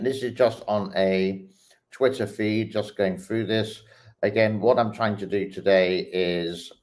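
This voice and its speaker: A man hosting his channel, talking through social media clips in a slow, hesitant way with many pauses and fillers. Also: fairly low pitch, a little mellow. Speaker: host reacting to online clips. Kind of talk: casual commentary while scrolling a feed.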